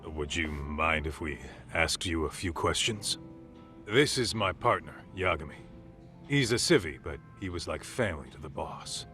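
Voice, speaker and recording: A man's voice: extremely low pitch, lively intonation, moderate pace, bright, forceful tone, full character voice. A man playing a brash, loyal ex-yakuza who works as a detective's partner in an English game dub. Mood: respectful, probing. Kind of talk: video game cutscene dialogue. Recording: music behind the voice.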